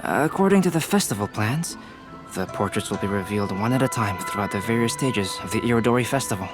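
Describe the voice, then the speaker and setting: A man playing a calm, polite, thoughtful young artist in an anime video game, speaking in a measured, formal way. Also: fairly low pitch, close to an everyday voice. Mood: composed. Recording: music playing behind the voice.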